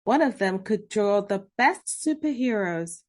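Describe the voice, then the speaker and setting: A woman narrating a children's storybook, reading expressively with drawn-out emphasis and dramatic pauses. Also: medium pitch, animated, darkish tone. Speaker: read-aloud host narrating children's books. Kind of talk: scripted children's storybook narration in first person.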